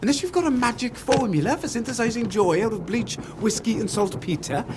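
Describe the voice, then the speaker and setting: An actor playing a pompous, irritable, callous scientist in a video game. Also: male voice, very high voice, a little fast, noisy background. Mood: irritated. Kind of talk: video game character acting.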